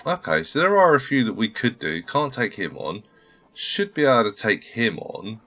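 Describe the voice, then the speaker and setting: A man's voice: medium pitch, big pitch swings, normal speed, pushed and bright, faint music behind. A man hosting a strategy game playthrough, thinking aloud in a polite, chatty way while a little under the weather. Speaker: gaming channel host. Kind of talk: casual let's-play commentary.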